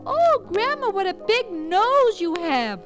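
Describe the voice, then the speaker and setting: A woman voicing a sassy, streetwise little girl who talks in slang with a squeaky high voice, music behind her. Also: very animated, slow, heavily acted.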